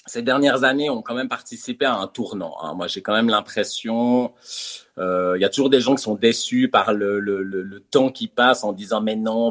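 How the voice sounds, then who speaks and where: A man's voice: on the low side, fairly bright tone. A man, a comedian who also teaches theatre, talks casually in an interview. He hesitates often and keeps circling back with fillers and repeated words.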